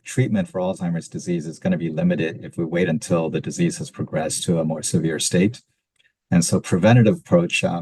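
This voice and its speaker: A male physician on a video call, asking and answering questions in a measured, explanatory way. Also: low voice, darkish tone, breathy edge, no echo, low-quality recording. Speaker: physician, faculty discussant. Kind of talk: webinar Q&A.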